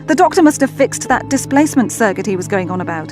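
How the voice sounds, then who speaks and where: An actress playing an earnest, quick-thinking young time traveller with a conversational delivery in an audio drama. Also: female voice, on the high side, very animated, over music. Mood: puzzled.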